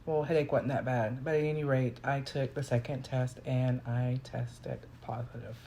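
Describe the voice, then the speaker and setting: A woman with a naturally deep voice, hoarse while ill, telling a casual, rambling personal story and correcting herself as she goes. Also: fairly even intonation, moderate pace, noisy background. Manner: rambling, self-correcting, conversational. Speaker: vlogger.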